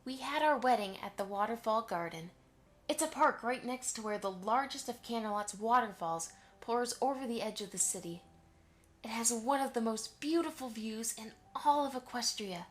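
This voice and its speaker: A woman voicing a gentle, affectionate mother pony who reminisces fondly in an amateur audio drama. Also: on the high side, lightly acted. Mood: patient, warm. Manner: affectionate, storytelling to a child.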